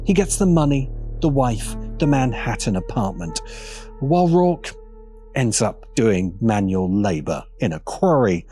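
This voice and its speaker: Male essayist narrator, mid-pitched and very animated in delivery, music underneath. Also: a little slow. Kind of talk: scripted video essay narration.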